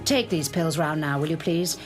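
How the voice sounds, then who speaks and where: A firm, commanding hospital matron issuing crisp orders. Her voice sits low for a woman and moves with lively pitch. Music runs behind her. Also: moderate pace. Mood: instructing. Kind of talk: radio drama acting. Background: music score.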